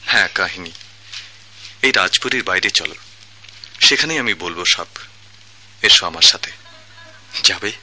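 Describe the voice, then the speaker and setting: An actor playing a lovelorn young singer in a palace tale, declaiming poetically with dramatic pauses in a Bangladeshi accent, in old-fashioned radio drama style. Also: male voice, sits low, animated, pushed and bright, exaggerated voice. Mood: solemn.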